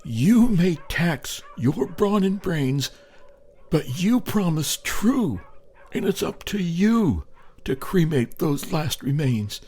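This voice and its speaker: A man voicing an eerie, insistent frozen corpse in a storytelling poem, speaking slowly and tauntingly. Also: on the high side, sing-song, a little mellow, husky, pitched above the performer's own voice, exaggerated voice.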